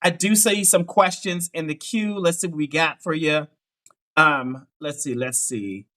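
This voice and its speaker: A high, bright male voice with a Pittsburgh accent. He hosts a dating show and fires off quick, upbeat questions, backing them with steady affirmations. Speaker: dating coach host. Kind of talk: live dating show interview.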